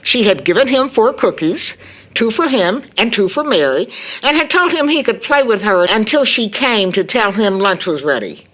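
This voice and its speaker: A woman's voice: medium pitch, sing-song, normal speed, fairly bright tone, rough recording. An actress playing a worried, tender middle-aged mother in an audio drama. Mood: troubled, recounting.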